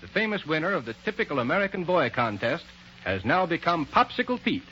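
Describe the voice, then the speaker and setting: A man's voice: a little high, big pitch swings, a little fast, bright, forceful tone. A man announcing and narrating in showy, emphatic 1930s radio style. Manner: showy, emphatic salesmanship and storytelling. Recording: muffled, hissy 1930s radio recording.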